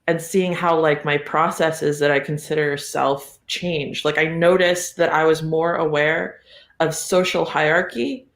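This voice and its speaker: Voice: medium pitch, a bit bright. Thoughtful podcast guest in an echoey room. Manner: thoughtful, meandering, breaks into chuckles. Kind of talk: casual reflective discussion. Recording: roomy, echoey sound.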